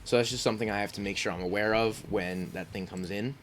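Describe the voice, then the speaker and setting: A man's voice: on the low side, steady tone, quick pace, fairly bright tone, faint music behind. A congested man giving casual commentary on his own game, thinking aloud with frequent ums and restarts. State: congested, sniffling. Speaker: gaming YouTuber.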